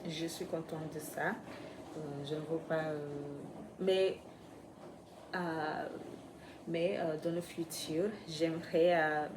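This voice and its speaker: Low-voiced woman, slow and hesitant. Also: level tone, background noise. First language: not French. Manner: hesitant, many pauses and fillers, searching for words. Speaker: French learner vlogger. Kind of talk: unscripted vlog about learning French.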